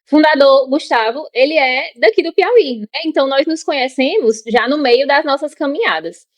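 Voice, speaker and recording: A woman's voice: sits high, moderate pace, no room sound. A young woman from Ceará with a Cearense Brazilian accent, a podcast guest chatting casually with frequent fillers. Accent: Cearense Brazilian Portuguese. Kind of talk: casual conversational storytelling.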